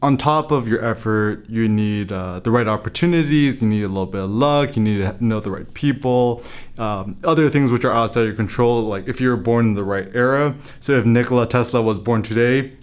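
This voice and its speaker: A man's voice: lowish voice, a little fast, clean tone. A young American man, a photography blogger, talking casually to camera and thinking aloud, with fillers and restarts. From United States. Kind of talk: casual motivational talk to camera.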